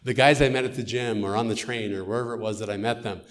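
A man's voice: fairly low pitch, fairly even intonation, quick pace. A middle-aged American man, a missionary, giving an earnest storytelling testimony in church. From United States.

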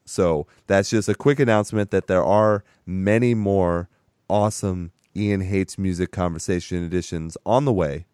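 A man hosting a casual podcast chat with a hoarse, raspy voice that is strained from sickness; he talks in a chatty, rambling way and laughs often. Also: sits low, steady tone, moderate pace, darkish tone, no echo, clean studio sound. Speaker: podcast host.

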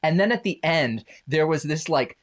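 Male guest, grew up in Indonesia, wry self-deprecating storyteller, voice on the higher side. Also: expressive, moderate pace. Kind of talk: personal storytelling in conversation. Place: home basement.

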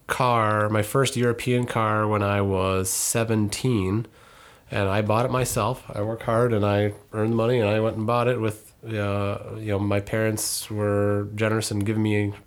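A thirty-year-old man from northern Minnesota talks through his story on a relaxed podcast. His voice sits fairly low, and he rambles, backing up to fix details and filling pauses with ums. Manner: rambling, self-correcting with many ums. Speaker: podcast co-host. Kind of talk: casual conversational podcast.

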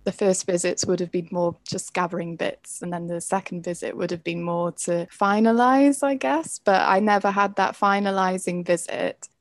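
A woman's voice: on the low side, fairly even intonation, normal speed, few pauses, dry recording. A young woman from Wales, a photographic artist, talking through her work in a thoughtful, hedging way. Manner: thoughtful, hedging, frequent "like" and "I think". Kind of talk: conversational interview.

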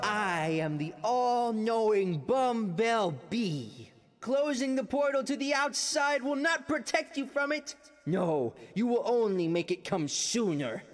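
A man's voice: very high-pitched, very animated, takes it slowly, soft background music, exaggerated voice. A man playing an all-knowing bumblebee prophet who proclaims pompously and ominously in an audio drama. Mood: ominous, grand.

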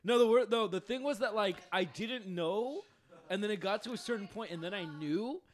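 A young man in his twenties with a notably high voice, co-hosting a casual podcast chat. He keeps breaking in with loud, swear-filled jokes. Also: moderate pace. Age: 20s. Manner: loud, profane, joking interjections. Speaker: podcast co-host.

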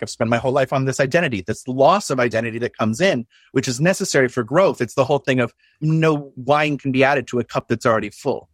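A man's voice: medium pitch, no room sound, studio quality. A man in a casual discussion, reflective, explaining at length and posing rhetorical questions.

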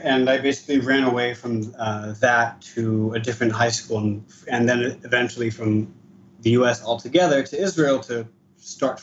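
Man, late 20s, hesitant guest, dull lo-fi line, faint hiss. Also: mid-range voice. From East Coast of the United States. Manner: hesitant, many uh fillers. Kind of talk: casual interview.

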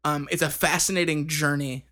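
Man, 30, fairly high pitch, casual podcast chat, rambling, filler-heavy. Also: fairly even intonation, moderate pace, bright, forceful tone. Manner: rambling, with many fillers and restarts. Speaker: band member co-hosting a podcast.

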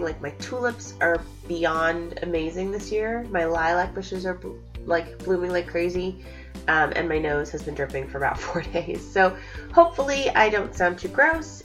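A woman in her thirties hosting her own podcast from home, sniffly from allergies, chatting upbeat with plenty of fillers. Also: fairly low pitch. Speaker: podcast host. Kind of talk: casual solo podcast chat. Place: home at night, cats nearby.

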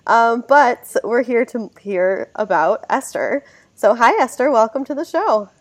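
Slightly hoarse woman hosting a podcast. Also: on the high side, expressive, measured pace. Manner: friendly, upbeat greetings with fillers. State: hoarse from a cold. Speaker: podcast host. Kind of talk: casual interview.

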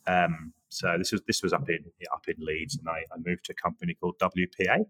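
An Englishman in his forties from the Norwich area, chatting as an interview guest in a relaxed, rambling way with many restarts. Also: deep voice, fast talker. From England, Norwich area. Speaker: guest, creative director. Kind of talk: casual interview chat.

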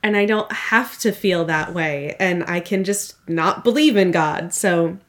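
A woman's voice: on the low side, unhurried. A woman from Minnesota co-hosting a casual podcast, with dry, teasing remarks. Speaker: podcast co-host. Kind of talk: casual chat.

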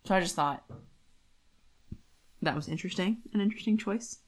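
A woman's voice: medium pitch, normal speed. A woman co-hosting a podcast, chatting in a very informal, conversational way. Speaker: podcast co-host. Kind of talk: casual chat.

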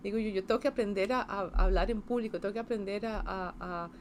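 A Costa Rican woman in her fifties talking through her career in a relaxed interview. She pauses often, restarts her sentences and keeps checking in with little tag questions. Her voice sits at a middling pitch over a faint hiss behind. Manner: hesitant, many fillers and restarts, tag questions. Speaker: engineer interviewee. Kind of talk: casual interview.

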